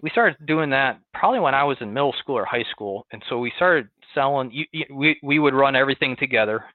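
A young man from Western New York with its accent, a cattle farmer telling his story as a guest, relaxed, with many fillers and restarts. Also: medium pitch, quick pace, no echo. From Western New York, near Buffalo. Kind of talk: conversational interview. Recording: tinny phone-like line, dry.

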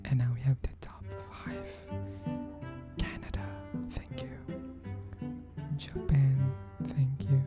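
A woman hosting a podcast, thanking her listeners in a soft, often near-whispered, unhurried voice. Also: a bass voice, monotone. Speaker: podcast host. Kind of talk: thank-you message to listeners. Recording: cello music playing under the voice.

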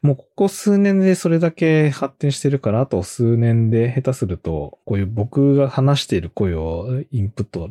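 A man's voice: lowish voice, warm tone, breathy edge, dry recording, clean studio sound. A man hosting a podcast interview, chatting in a casual but polite way. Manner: frequent agreeing backchannels, casual polite.

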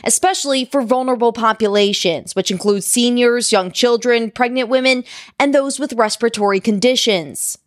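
A female correspondent reads a scripted report in an even, measured news cadence. Her voice sits a little high and sounds close and dry, with no room around it. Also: no room sound.

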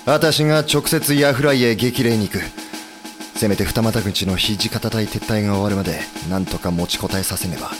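A man acting as an idealistic samurai commander-in-chief in a period audio drama, earnest, gentle and resolute. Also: fairly low pitch, normal speed, over music, lightly acted. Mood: grave, resolute.

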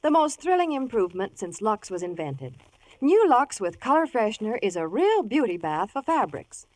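A woman's voice: a little high, very animated, normal speed, a little mellow. A woman reading a 1950s radio commercial in an enthusiastic, emphatic, gushing style. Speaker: commercial announcer.

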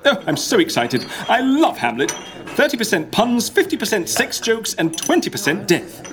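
A man playing a chatty, excitable, easily exasperated office worker with a British accent in an audio comedy. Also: high-pitched, normal speed, a crowd in the background. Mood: excited, cheerful. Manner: fussy, colloquial. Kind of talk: audio comedy drama acting.

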